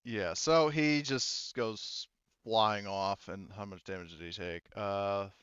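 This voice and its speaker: A man's voice: lowish voice, takes it slowly, fairly bright tone, smooth, no room sound, highs cut off. A man running a tabletop roleplaying game as game master, casual and joking, with some swearing. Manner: joking, profane banter.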